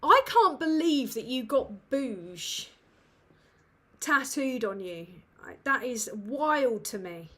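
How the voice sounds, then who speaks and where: A woman's voice: fairly high pitch, sing-song, slow pace, a bit bright. A woman with a British English accent hosting a casual livestream Q&A from a dim room at home, rambling and sweary with lots of ums. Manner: rambling and sweary, with many fillers. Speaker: livestream host.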